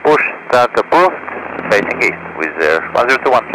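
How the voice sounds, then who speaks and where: A male pilot makes procedural calls in a mid-pitched voice over aviation radio. Heavy noise runs all through the transmission. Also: big pitch swings, normal speed.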